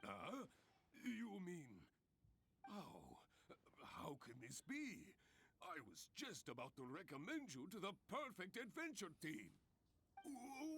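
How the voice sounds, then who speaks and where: An actor playing an eager, pleading guild branch master in a video game, with a theatrical, coaxing manner. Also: male voice, on the high side, fairly bright tone, a little hiss or hum, full character voice. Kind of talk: video game character acting.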